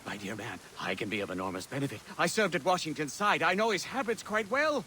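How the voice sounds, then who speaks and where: A man's voice: on the high side, very animated, fast, bright, forceful tone, slight background noise. An actor playing a repentant older statesman who speaks in a courtly, eloquent way. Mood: pleading.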